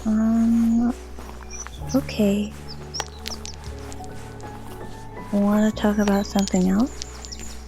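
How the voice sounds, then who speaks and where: A woman's voice: medium pitch, flat delivery, slow, mellow. A woman playing a curious, cheeky young daughter of a soldier in an audio drama, inquisitive and teasing. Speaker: voice actor. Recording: faint music behind the voice.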